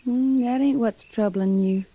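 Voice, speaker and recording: An American actress playing a gentle, loving pregnant young frontier wife in an old-time radio drama, with soft, folksy rural speech. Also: female voice, fairly high pitch, measured pace. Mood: gently firm. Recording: dull vintage radio recording.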